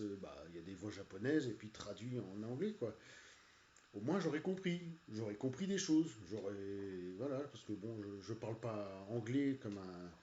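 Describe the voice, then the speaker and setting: An irritated man rambles along in casual, halting speech, stuffing his sentences with fillers and false starts. His voice is dark and mellow. It sounds dull and lo-fi, and it echoes around a hollow room. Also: fairly low pitch, a little hiss or hum. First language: French. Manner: hesitant, many "euh" and "voilà", annoyed. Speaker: video game reviewer. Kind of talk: casual rant while playing a game.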